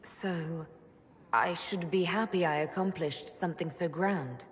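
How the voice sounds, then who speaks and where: An actress playing a composed, dry-witted mage leader in a video game, speaking in an understated way. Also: female voice, fairly low pitch, a little slow, natural voice. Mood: serious, weary. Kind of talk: video game cutscene acting. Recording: hall reverb, faint noise.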